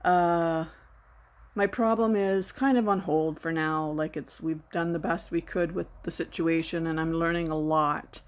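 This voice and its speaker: A woman in her sixties chatting casually from behind a camera at home, rambling and self-deprecating, her voice going hoarse. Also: deep voice, fairly even intonation, a little slow. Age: 60s. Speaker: vlogger. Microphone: camera mic, speaking from behind the camera.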